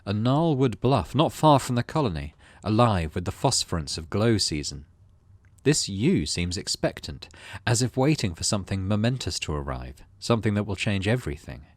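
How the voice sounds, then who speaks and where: Animated, slightly low-voiced man narrating a game. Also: no echo. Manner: expressive storyteller reading with asides. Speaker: gaming channel host. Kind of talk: reading game narration aloud with casual commentary.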